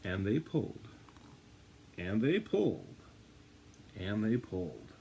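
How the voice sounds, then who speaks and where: A man with a husky voice reading a children's storybook aloud, with dramatic, drawn-out emphasis. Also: fairly low pitch, wildly swooping pitch, warm tone, a little hiss or hum. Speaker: story reader. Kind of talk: animated read-aloud of a children's picture book.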